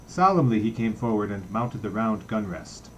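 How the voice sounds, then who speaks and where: A man's voice: on the low side, animated, normal speed, slight background noise, low-quality recording. A man narrating literary fiction, reading a novel aloud as an audiobook reader.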